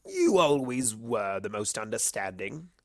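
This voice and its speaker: Woman as a male cartoon trickster creature, smug, boastful, cheeky, swinging pitch, dry recording. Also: big pitch swings, unhurried, dry recording, exaggerated voice. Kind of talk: fan fiction storytelling.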